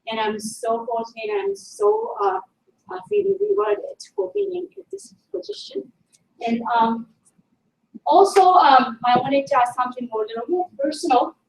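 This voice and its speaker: A woman's voice: high-pitched, a bit bright. A middle-aged woman with a Japanese accent, a native Japanese speaker, giving an informal conference presentation as a linguistics teacher and often searching for words. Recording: echoey room, distant mic, faint noise.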